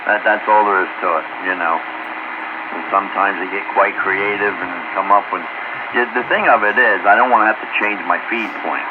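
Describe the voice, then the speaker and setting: A man chatting casually over amateur radio in a folksy, easygoing manner. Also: sits low. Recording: muffled radio transmission, heavy static.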